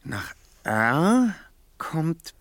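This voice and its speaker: A man's voice: a little high, sing-song, drawn-out words, vocal fry, dry recording, exaggerated voice. An actor playing a clever, methodical young male detective in a German audio drama, explaining things precisely. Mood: analytical, deliberate.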